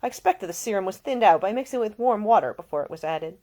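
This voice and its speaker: A man's voice: sits high, expressive, quick pace, darkish tone, pitched above the performer's own voice. A woman voicing a pedantic nobleman in an audiobook, precise and given to lecturing. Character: irritable, pedantic young earl obsessed with potions. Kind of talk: audiobook character voice.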